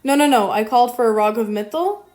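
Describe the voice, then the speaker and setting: A young man voicing a brisk, insistent woman doctor who keeps repeating herself, in a storytime animation. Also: extremely high pitch. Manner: loud, firm, repeating herself. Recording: roomy echo.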